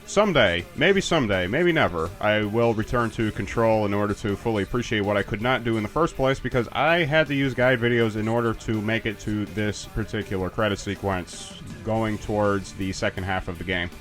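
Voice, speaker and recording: A man's voice: lowish voice, brisk, hardly any pauses, fairly bright tone, soft background music. A man casually chatting and joking as a commentator while a game's credits roll. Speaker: let's play commentator. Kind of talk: casual game commentary chat.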